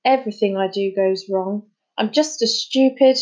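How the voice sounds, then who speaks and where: A woman's voice: medium pitch, normal speed, a little mellow, close to an everyday voice, rough recording. A woman voicing an upset, stubborn young boy in an audiobook, pleading and exclaiming in despair. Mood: miserable. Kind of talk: audiobook character dialogue.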